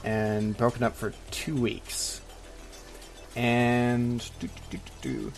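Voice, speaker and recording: A man's voice: mid-range voice, little pitch movement, slow pace, soft background music. A man co-hosting a casual comedic advice podcast, wry and hedging, given to joking asides. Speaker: podcast co-host.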